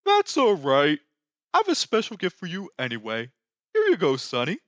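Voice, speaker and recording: A performer voicing a lanky skeleton Pumpkin King from an animated musical, grandiose, excitable and melodramatic, with sweeping theatrical delivery. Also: medium pitch, moderate pace, no echo, highs cut off. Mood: excited. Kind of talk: fan re-recording of animated musical film lines.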